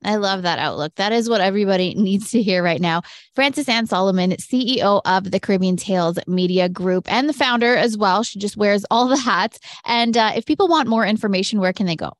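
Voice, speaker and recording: A woman's voice: medium pitch, brisk, no room sound. A woman hosting an interview, asking questions and responding enthusiastically. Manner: enthusiastic, affirming. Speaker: interviewer, host.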